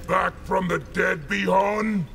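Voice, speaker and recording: An actor playing a stern, solemn, imperious fighter in a video game, speaking in a measured, formal way. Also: male voice, high voice, fairly even intonation, vocal fry, full character voice. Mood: haughty. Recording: loud music behind.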